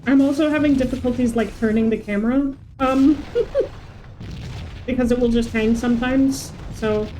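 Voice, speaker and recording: A woman's voice: fairly high pitch, fairly even intonation, normal speed, dark tone, rough recording. A woman game streamer, a non-native English speaker, chatting casually and commenting as she plays. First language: not English. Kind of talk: casual livestream commentary and chat. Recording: faint background noise.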